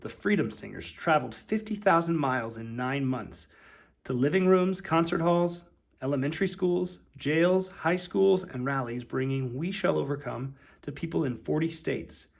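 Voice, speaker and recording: A man reading a children's picture book aloud as narrator, with deliberate, emphatic phrasing. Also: a little high, creaky, slightly rough.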